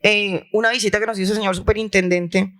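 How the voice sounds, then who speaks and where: A woman's voice: medium pitch, fairly even intonation, quick pace, fairly bright tone. Impassioned woman on a panel. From La Guajira, Colombia. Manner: impassioned, emphatic, with rhetorical repetition. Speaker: health insurer leader on a panel. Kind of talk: conference panel talk. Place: conference hall.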